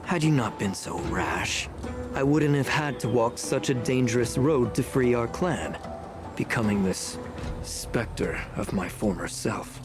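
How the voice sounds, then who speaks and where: An actor playing a formal, faintly sardonic, scheming elder in a video game, speaking in a measured way. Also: male voice, medium pitch, expressive, close to an everyday voice. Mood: sardonic, bitter. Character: scheming, unrepentant male clan elder in a rejuvenated body. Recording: game music behind the voice.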